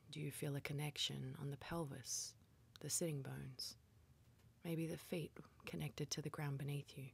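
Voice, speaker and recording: A woman leading a guided meditation in a soft, whispery, breathy voice, calmly and gently instructing with pauses. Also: sits low.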